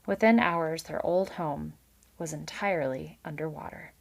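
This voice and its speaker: Female read-aloud storyteller, lower register, narrating children's fiction with casual asides. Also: moderate pace, vocal fry. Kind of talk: expressive children's book narration with casual commentary.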